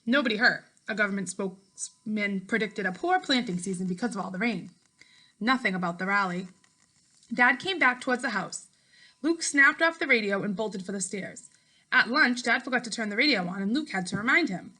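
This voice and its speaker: A woman teacher reading a story aloud to children, clearly and expressively, speaking directly to her pupils. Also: medium pitch, lively intonation, a little fast, pushed and bright, low-quality recording. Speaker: teacher reading a book aloud to fifth graders.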